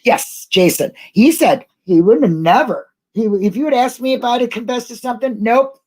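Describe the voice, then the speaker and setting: A woman's voice: on the high side, moderate pace, a little mellow. A middle-aged woman hosting a casual live online discussion, chatting in a colloquial, rambling way. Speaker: host of an online talk show.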